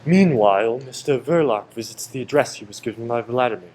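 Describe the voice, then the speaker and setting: A man's voice: mid-range voice, expressive, brisk, darkish tone, close to an everyday voice. A man reading scripted narration for a radio play.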